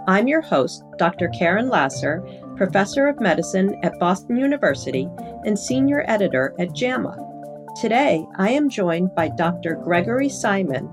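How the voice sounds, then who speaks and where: Casting: woman podcast interviewer, formal and professional, animated pitch movement, scripted questions.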